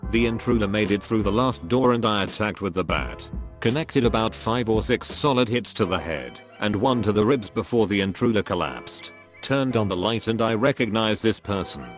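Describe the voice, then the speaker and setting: A man narrating first-person stories in a steady, even read-aloud delivery. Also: lowish voice. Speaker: narrator reading online stories.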